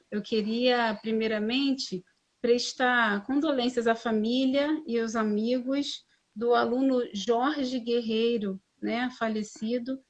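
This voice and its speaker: Higher-voiced teacher on dull stream audio. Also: female voice. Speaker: administration teacher, host of the live. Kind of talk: hosting a live class stream.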